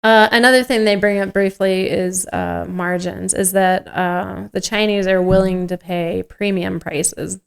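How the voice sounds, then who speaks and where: A woman's voice: medium pitch, steady tone, a little slow, vocal fry, hardly any pauses, clear, resonant tone. A woman co-hosting a podcast in casual conversation, given to dry, joking asides. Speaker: podcast co-host.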